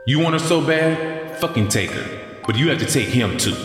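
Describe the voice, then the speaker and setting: A man's voice: mid-range voice, lively intonation, moderate pace, fairly bright tone, faint music behind, natural voice. An actor playing an angry, grieving, stubborn man in a storm-set audio drama, speaking curtly and bitterly. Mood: bitter anger. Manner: curt, bitter, swearing.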